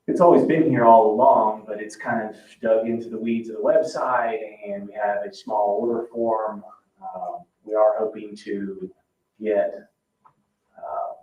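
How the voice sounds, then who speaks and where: Man, low-pitched, halting informal meeting presenter, heard from a distance in a roomy space. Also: darkish tone. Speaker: commission member presenting at a public meeting. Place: indoor public meeting room. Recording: roomy, distant, dull, noisy meeting-room pickup.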